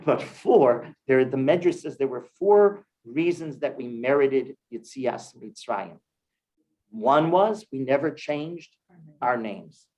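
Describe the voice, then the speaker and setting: Man, rabbi, teaching a class, emphatic, swings between loud and soft. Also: lively intonation, slow pace, poor audio. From Cleveland, US. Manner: emphatic, rising to stress key words, then dropping low. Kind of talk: Torah class sermon. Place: synagogue hall with congregation.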